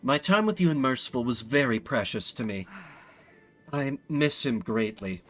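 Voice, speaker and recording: A man voicing a gracious, nostalgic royal ruler in a video game, polished and warmly formal. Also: mid-range voice, expressive, moderate pace, soft background music, pitched below the performer's own voice, natural voice. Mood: fond, nostalgic.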